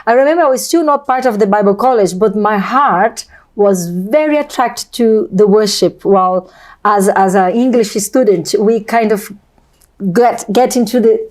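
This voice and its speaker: Female host, Brazilian accent, native Portuguese speaker, mellow tone, effusive conversational interviewing. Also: mid-range voice, moderate pace. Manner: effusive, wordy, repeats phrases. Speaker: host of a video channel.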